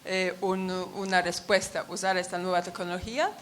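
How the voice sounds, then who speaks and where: A woman's voice: on the low side, fairly even intonation, normal speed, slight background noise. A woman who is not a native speaker talks in a conference Q&A, often searching for words. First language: not Spanish. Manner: searching for words, many fillers. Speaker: audience member asking a question.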